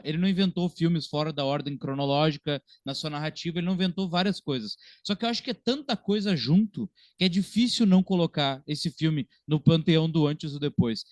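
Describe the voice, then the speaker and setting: High-voiced southern Brazilian man, dry sound. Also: no room sound. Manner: informal, slangy. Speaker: podcast panelist. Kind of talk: casual film discussion.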